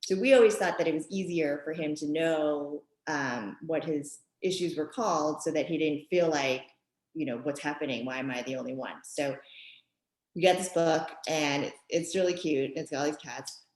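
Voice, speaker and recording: A middle-aged woman from Japan, talking thoughtfully in an interview with frequent pauses and ums. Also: sits low, a little mellow. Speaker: guest author and mother. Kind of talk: conversational interview. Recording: echoey room.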